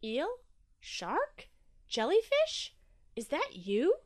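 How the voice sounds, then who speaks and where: Woman as an anxious, high-voiced little octopus. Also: wildly swooping pitch, extremely slow, full character voice. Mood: nervous, hesitant. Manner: nervous, apprehensive. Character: anxious, sensitive, self-blaming young male octopus.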